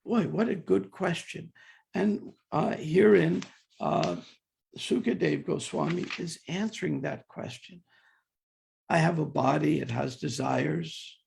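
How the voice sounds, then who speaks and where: Older man with a gravelly voice, teaching a scripture class. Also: on the high side, takes it slowly, a little mellow, slight background noise. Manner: conversational, humorous, questions the audience; many repeated "and, and" restarts. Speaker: class speaker. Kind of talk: devotional scripture class with stories.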